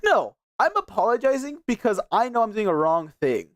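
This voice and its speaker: A man's voice: high voice, very animated, moderate pace. A young man streaming, chatting casually and rambling with many restarts. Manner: rambling, restarts a lot, laughs often. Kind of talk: casual chat.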